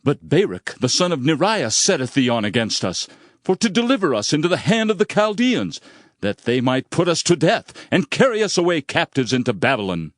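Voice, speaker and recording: An actor playing a proud, defiant accuser with a scornful manner in a dramatized scripture reading. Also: male voice, on the high side, sing-song, a little fast, dry recording, clean studio sound. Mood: scornful accusing. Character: proud, defiant male accuser challenging a prophet.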